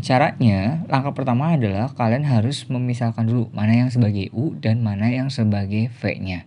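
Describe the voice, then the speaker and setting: A man teaching step by step in a methodical, explanatory manner, pausing for emphasis. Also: fairly low pitch, mellow. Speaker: math teacher. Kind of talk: step-by-step instructional lesson.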